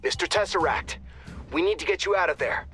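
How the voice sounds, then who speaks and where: An actor playing a joking squad handler on comms in a game, wry and lively in delivery. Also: male voice, sits high, fairly quick, soft background music. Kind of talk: game cutscene voice acting.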